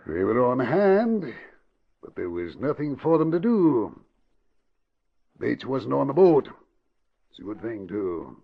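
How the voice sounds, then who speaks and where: An actor playing a brusque, confident, cynical middle-aged crooked boss in an old-time radio drama. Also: male voice, medium pitch, sing-song, slow pace, gravelly, pauses often, full character voice. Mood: smug, dismissive. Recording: dull lo-fi vintage radio recording.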